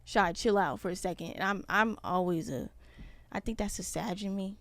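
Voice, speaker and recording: A woman in her twenties hosting a podcast, chatting casually in an animated, slangy way. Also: medium pitch, moderate pace, dry recording. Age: 20s. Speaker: podcast host.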